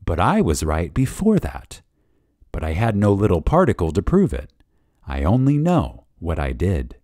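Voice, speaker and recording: A man reading a spiritual lecture dramatically, with reverence, emphasis and deliberate pauses. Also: deep voice, wildly swooping pitch, a little mellow, no echo, studio quality. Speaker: reader of a lecture.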